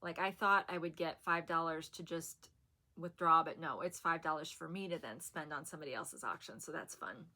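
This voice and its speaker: A woman chatting to camera in a soft, soothing voice that still carries a bright, sharp edge. She rambles a little, with easy fillers and small laughs, in the relaxed way of a long-time vlogger. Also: low-pitched, moderate pace. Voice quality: soft and soothing, said to put people to sleep. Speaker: haul vlogger. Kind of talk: casual chat to camera.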